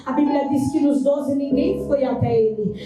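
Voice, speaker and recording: Hoarse Brazilian woman, high-pitched preacher, sing-song fervent delivery, heard from afar in a very echoey church hall, loud music underneath. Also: normal speed, distant mic. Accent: Brazilian Portuguese. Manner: passionate, sing-song preaching with rising exclamations. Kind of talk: sermon. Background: loud instrumental worship music.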